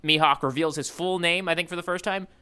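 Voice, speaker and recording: A man co-hosting a podcast, casually recapping a story in a halting way with frequent "you know" asides. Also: a little high, fairly even intonation, bright, forceful tone, clean tone. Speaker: podcast co-host recapping a manga chapter.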